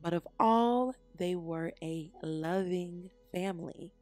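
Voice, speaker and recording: A woman reads a children's storybook at a very slow pace. Her phrasing is deliberate and expressive, with music softly behind her. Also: on the low side. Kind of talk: read-aloud scripted narration.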